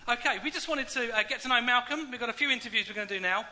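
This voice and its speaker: A man's voice: extremely high pitch, very fast, bright, forceful tone. A man hosting a church event, interviewing a guest on stage in a jokey, encouraging way. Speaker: event host.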